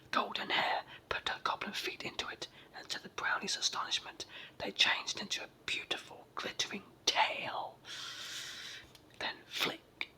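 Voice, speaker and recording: A person with a sore throat and a cold, whispering a children's story in a raspy, froggy voice as ASMR narration. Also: rough recording. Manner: hushed whispering throughout.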